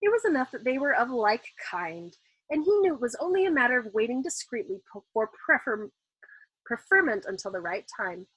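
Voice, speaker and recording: A woman reading a novel aloud in an expressive, dramatic audiobook style, as the host of a storytime. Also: on the high side, a little fast, slightly rough, dry recording, low-quality recording.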